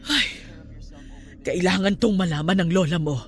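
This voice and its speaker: An actress playing a stern, superstitious middle-aged aunt in a radio drama, scolding and emphatic. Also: female voice, on the low side, normal speed, husky, soft background music.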